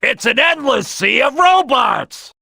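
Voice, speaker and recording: An actor voicing a brash, gung-ho male crocodile detective boss in a gruff, raspy video game voice. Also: very high voice, sing-song, slow, sharp tone, no echo, raised voice, exaggerated voice. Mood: fired up.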